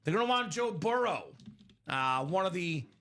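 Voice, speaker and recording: High, lively, bright-voiced man hosting. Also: normal speed, gravelly. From Bulgaria. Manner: upbeat, joking, shouts out buyers by name. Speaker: livestream sports card break host. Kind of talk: casual live-stream sales chat.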